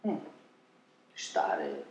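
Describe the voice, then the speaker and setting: A man's voice: drawn-out words, a little hiss or hum. Blunt, swearing man from Indonesia. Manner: blunt, swears freely, jokes. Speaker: podcast guest. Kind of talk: casual storytelling. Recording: echoey room.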